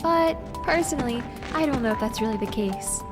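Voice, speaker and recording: Woman playing an earnest, high-voiced young archaeologist. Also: lively intonation, fairly quick, over loud music, natural voice. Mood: earnest, absorbed. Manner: precise, formal, eager to explain. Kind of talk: audio drama acting.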